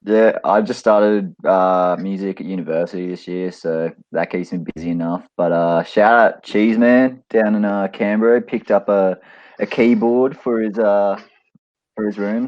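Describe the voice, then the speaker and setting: A man's voice: low voice, fairly even intonation, unhurried, dry recording. A young man chatting casually with dry, joking delivery on a lo-fi connection. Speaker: band musician, podcast guest. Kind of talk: casual chat. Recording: slightly dull lo-fi connection.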